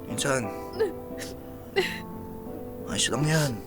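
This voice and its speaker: A man's voice: medium pitch, extremely slow, loud music behind the voice. An actor with a Filipino accent playing a defiant, loyal young man in a radio drama. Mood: casual, hesitant.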